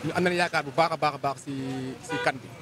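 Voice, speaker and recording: A man's voice: fairly high pitch, steady tone, very fast, music in the background. A man with a Senegalese accent answering a street interview with confidence. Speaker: passerby interviewee. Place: busy roadside outdoors.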